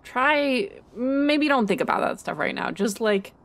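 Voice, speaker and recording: A woman improvising a comedic game fandub as a blasé young woman who rambles in a casual, deadpan way. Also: on the high side, measured pace. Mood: philosophical, deadpan. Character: blasé, sardonic young woman, superhero's philosophizing friend.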